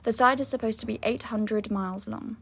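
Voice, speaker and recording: A young woman reads a historical account aloud as a student reader. Her delivery is formal and measured, shaped as a dramatic reading. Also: a little high, fairly even intonation, creaky, fairly bright tone. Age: young adult.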